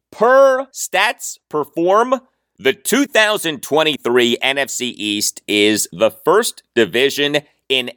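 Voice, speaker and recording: A man's voice: medium pitch, a bit bright, dry recording, studio quality. An American man hosting a sports podcast, delivering commentary emphatically and stretching out words for effect.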